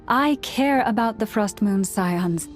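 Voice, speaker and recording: A woman voicing an earnest, solemn young priestess in a video game, speaking in a measured way over music. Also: medium pitch, expressive. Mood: pained, earnest. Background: background music.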